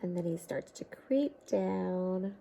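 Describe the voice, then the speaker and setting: A woman's voice: on the low side, slow, gravelly, warm tone, slight background noise. A woman reading a picture book aloud to children, expressively, with coaxing questions and asides to her listeners.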